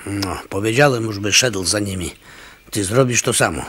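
An actor playing a sly, calculating pearl merchant in a Polish radio drama. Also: male voice, on the low side, moderate pace, bright, forceful tone, slightly rough. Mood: conspiratorial, commanding.

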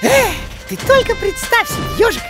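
A woman voicing an excitable, bold young male rabbit in an animated cartoon, with an emphatic, theatrical delivery. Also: extremely high pitch, normal speed, over music. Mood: boastful.

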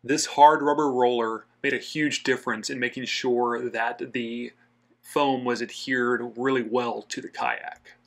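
Guy doing voiceover for a diy how-to video, medium pitch, explains steps like a host. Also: steady tone, unhurried, gravelly. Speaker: host of a DIY kayak fishing channel. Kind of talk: scripted how-to voice-over narration.

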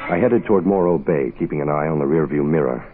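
An American man playing a cool, wry hard-boiled private investigator in a 1960s radio drama, laconic and deadpan. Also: rumbling low, fast talker. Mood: cool, brooding. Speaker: lead actor and narrator. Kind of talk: 1960s radio detective drama acting, first-person narration. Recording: muffled phone-like old radio, music behind.

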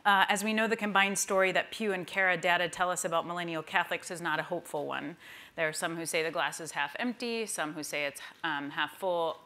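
A woman's voice: low-pitched, pushed and bright. A woman in her thirties giving a semi-scripted presentation as a panel speaker. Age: 30s. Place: wood-panelled library room at a panel table. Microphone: lapel.

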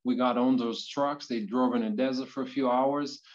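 Casting: middle-aged male guest speaker, flat delivery of a personal story, slightly dull call audio. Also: medium pitch, moderate pace. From born in Ethiopia, moved to Israel. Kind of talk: personal life story narration. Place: indoors, on video call.

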